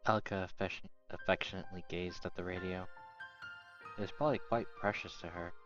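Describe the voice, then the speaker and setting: A man's voice: deep voice, steady tone, quick pace. A man reading visual novel narration aloud as a first-person story. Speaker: narrator reading subtitles of a game. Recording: dull lo-fi mic. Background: soft game music.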